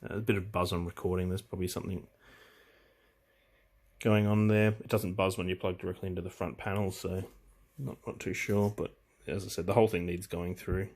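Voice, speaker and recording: A man giving a casual, unscripted walkthrough of equipment, speaking in a hesitant, halting way as he thinks aloud. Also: deep voice, flat delivery, a little mellow. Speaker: hobbyist presenter demonstrating vintage audio gear.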